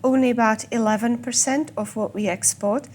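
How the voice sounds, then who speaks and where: A woman from the Czech Republic with a Czech accent and a slight Scottish tinge, guiding a tour of a whisky collection and explaining it patiently, with small pauses. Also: a little high, steady tone, darkish tone, a little hiss or hum. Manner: fluent, with filler pauses and slow stressed words. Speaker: whisky guide. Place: whisky collection room, indoors.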